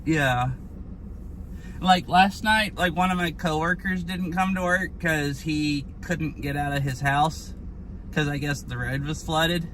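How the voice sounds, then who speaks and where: A hoarse young man streaming outdoors, chatting casually and rambling with lots of fillers. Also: a little high, a little slow, a bit bright, a little hiss or hum. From Washington state. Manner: rambling, filler-heavy, with frequent "like". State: hoarse from lost voice. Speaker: IRL streamer. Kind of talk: casual live-stream chat. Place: outdoors by a flooded river.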